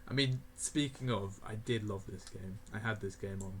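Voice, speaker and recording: Rambling, lowish-voiced young man. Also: moderate pace, slight background noise. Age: young adult (18). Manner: rambling, laughing often, many fillers. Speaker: gaming video creator. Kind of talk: casual tier list commentary.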